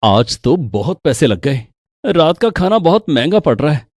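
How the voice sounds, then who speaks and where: A man's voice: a little high, big pitch swings, normal speed, a little mellow, dry recording, studio quality. A man playing the husband in a squabbling household, in scripted family-story dialogue. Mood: worried.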